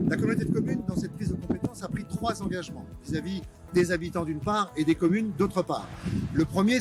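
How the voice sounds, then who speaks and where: A man speaking as a local government official, giving a prepared institutional statement in a measured way with frequent hesitations. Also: fairly high pitch.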